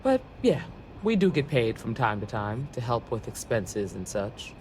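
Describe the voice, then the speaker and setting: An actor playing a steady, plain-spoken, folksy ranger lawman in a video game, speaking in an earnest, measured way. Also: medium pitch, slight background noise, natural voice. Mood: matter-of-fact. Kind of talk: video game dialogue acting.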